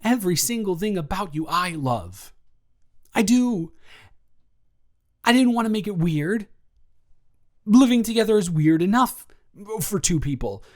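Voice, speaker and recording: A man's voice: high-pitched, lively intonation, unhurried, long pauses, a little mellow. A young man playing a lovestruck roommate in an intimate audio roleplay, earnest, rambling and stammering as he nervously confesses his feelings. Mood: tender, pleading. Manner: rambling, stammering, earnest, awkwardly self-deprecating.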